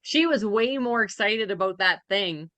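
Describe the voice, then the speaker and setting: A woman co-hosting a reaction podcast, chatting casually with an animated, blunt, opinionated manner. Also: mid-range voice, a little slow. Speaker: podcast co-host.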